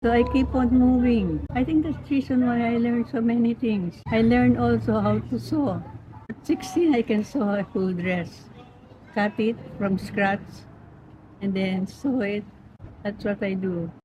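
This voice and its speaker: A woman in her nineties from the Philippines, speaking with a Filipino accent and Pampango as her first language, rambling gently and laughing easily as she recalls her life. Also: fairly high pitch, fairly even intonation, measured pace. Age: 90s. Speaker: interviewee. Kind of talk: reminiscing in casual chat. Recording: lo-fi with background noise.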